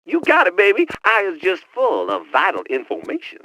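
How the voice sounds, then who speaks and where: An actor with an American accent voicing a wisecracking, streetwise, excitable tech sidekick in a video game, with slangy, cocky banter. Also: male voice, high voice, moderate pace, slight background noise, heavily acted, low-quality recording. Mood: jokey, bantering. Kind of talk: video game cutscene voice acting.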